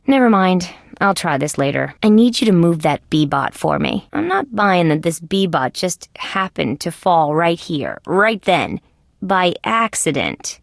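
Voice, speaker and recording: A hard-boiled insect detective from a video game, wry and unimpressed. Her voice is on the low side and edged with gravelly fry. She delivers everything deadpan and sardonic, in a close, dry recording with no room around it. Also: no room sound. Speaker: police detective character in an adventure game. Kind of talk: video game voice-over, observations and questioning.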